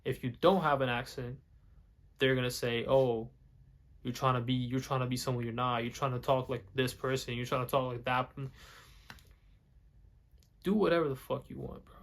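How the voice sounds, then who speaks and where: A young man talks straight to the camera in a loose, rambling way. He stumbles, repeats words and restarts his sentences as he goes. English is not his first language, and his pitch sits in a middle range. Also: fairly even intonation, moderate pace, gravelly, pauses often. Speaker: vlogger. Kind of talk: casual talk to camera.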